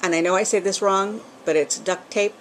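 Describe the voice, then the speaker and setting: A woman's voice: medium pitch, fairly even intonation, normal speed, a little hiss or hum, rough recording. A middle-aged woman from New England with a New England accent and a bit of Southern drawl, chatting casually at home into a camcorder, rambling with lots of ums. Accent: New England, with some Southern. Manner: chatty, rambling, with frequent ums and small laughs. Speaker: vlogger. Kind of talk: casual chat. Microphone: camcorder built-in mic.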